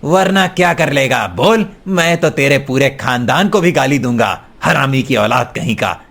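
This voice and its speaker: A man's voice: mid-range voice, animated, normal speed, gravelly, a bit bright. A man voicing a greedy, smug, abusive rich boss in a cartoon story, sneering and scolding. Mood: abusive, threatening. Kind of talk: cartoon villain acting.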